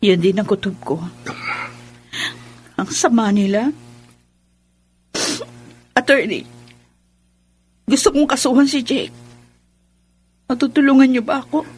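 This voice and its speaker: An actress playing a wronged, grieving young heiress seeking justice, earnest and dramatic, in a Filipino radio drama. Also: female voice, a little high, slow, long pauses, slightly rough. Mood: resolute. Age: young adult.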